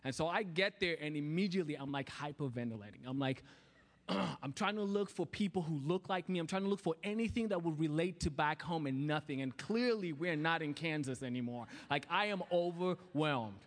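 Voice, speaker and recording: A man with a Kenyan accent preaching in church, telling a personal story with lively acted-out voices. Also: fairly high pitch, moderate pace. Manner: animated storytelling, acting out voices. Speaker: guest preacher. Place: church sanctuary.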